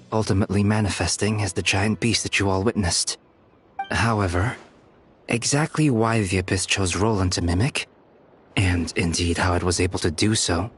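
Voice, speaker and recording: Man as a low-voiced, methodical scholar. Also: natural voice. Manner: formal, lecturing. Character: composed male scholar, clinical and methodical. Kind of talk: video game voice acting.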